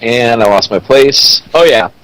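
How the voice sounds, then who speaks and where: A man jumps into a comedy reading with dry, quick wisecracks about the story. His voice is recorded close and dry, with no room sound around it. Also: mid-range voice, animated, fairly bright tone, no echo, poor audio. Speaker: co-host riffing a fan fiction. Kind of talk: comedic commentary.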